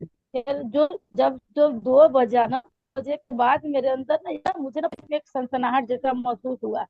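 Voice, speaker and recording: Hoarse high-voiced woman, halting testimony. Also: dry recording, highs cut off. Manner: hesitant, many fillers and restarts. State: hoarse throat. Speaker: church member giving testimony. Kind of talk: spoken testimony to a pastor.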